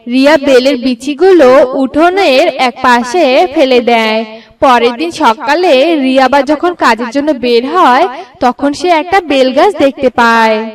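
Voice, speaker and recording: A woman's voice: high-pitched, a little slow, far from the mic. A woman narrating a scripted bedtime story for a cartoon.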